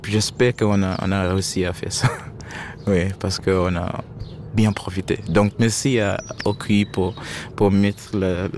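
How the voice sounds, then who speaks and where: A man's voice: deep voice, fairly even intonation, unhurried, darkish tone, a little hiss or hum. A man, a Shona speaker, answering interview questions hesitantly and searching for his words in French. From Zimbabwe. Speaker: musician guest.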